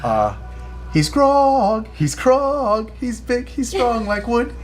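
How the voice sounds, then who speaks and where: A man's voice: very high voice, big pitch swings, slow pace. A man playing a tabletop game, casual, wry and joking, sometimes breaking into song. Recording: music playing behind the voice.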